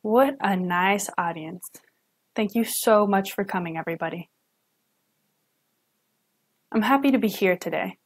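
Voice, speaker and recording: A young woman, a student interpreter, voicing a signed lecture live at home into a webcam, pausing and correcting herself as she goes. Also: mid-range voice. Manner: halting, with self-corrections and restarts. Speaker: student sign language interpreter.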